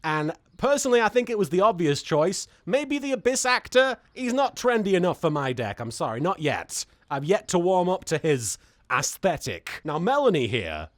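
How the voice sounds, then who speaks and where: A man's voice: high-pitched, big pitch swings, moderate pace, no echo, studio quality. A man chatting casually and comedically as a host, rambling playfully with mock-dramatic asides as he talks and reads aloud. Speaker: host of a card pack opening series. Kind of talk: casual comedic chat, reading card text aloud.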